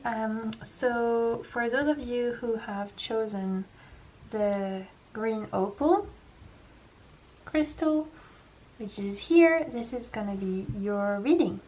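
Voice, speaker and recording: Soft reassuring french lady doing a tarot reading, bit high voice, faint hum behind. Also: slow, poor audio. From France. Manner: gentle, reassuring, chatty with fillers. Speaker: tarot reader. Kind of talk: pick-a-pile tarot reading to camera.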